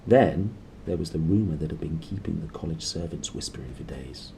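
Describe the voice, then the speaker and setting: A man's voice: rumbling low, lively intonation, a little fast, creaky, noisy background. A man reading a story aloud expressively. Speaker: reader and host. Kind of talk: expressive audiobook narration with casual asides.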